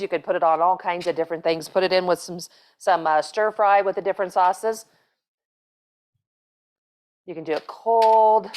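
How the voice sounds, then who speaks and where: A middle-aged woman runs a home cooking demonstration, chatting casually as she works. She rambles and restarts, filling gaps with "so" and "um". The voice sits at a middle pitch, recorded on a lapel mic in a home kitchen. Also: a little mellow. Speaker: cooking show host, chef.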